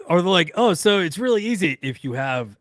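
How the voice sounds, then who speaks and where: A man's voice: high voice, very animated, fairly quick, no room sound, soft background music. A man co-hosting a podcast, chatting casually in a studio. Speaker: podcast co-host. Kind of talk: casual banter.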